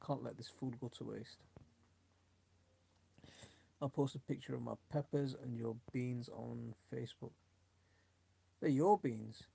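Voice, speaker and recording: Middle aged yorkshire bloke chatting on a livestream, creaky relaxed voice, chummy and rambly. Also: male voice, medium pitch, a little slow, long pauses. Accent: Yorkshire English. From South Yorkshire, England. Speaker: host of a gardening live stream. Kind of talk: casual live-stream chat, reading viewer comments.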